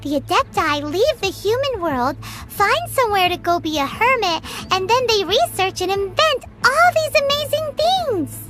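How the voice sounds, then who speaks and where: A woman voicing a small, excitable, childlike fairy companion with a squeaky voice in a video game. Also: normal speed, hardly any pauses. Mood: amazed. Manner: speaks of self in third person, exclamatory. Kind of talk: animated video game voice acting. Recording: music behind the voice. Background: playful game music.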